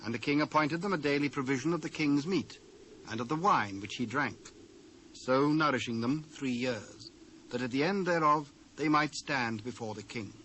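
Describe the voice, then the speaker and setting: A British man giving a formal, measured scripted narration of scripture. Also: mid-range voice, fairly bright tone. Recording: noisy old cassette transfer.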